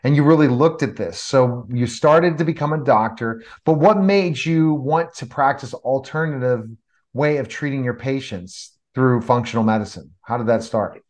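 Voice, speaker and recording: A man co-hosting a podcast, asking questions in a friendly, halting way. He trips over his words and restarts sentences as he reacts to the stories. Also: mid-range voice, darkish tone. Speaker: podcast co-host, interviewer. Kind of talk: podcast interview.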